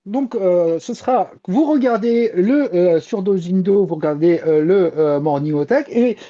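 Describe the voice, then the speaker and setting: A man presenting his manga column casually and haltingly over a lo-fi online call. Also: high voice, animated, warm tone. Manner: hesitant, halting delivery.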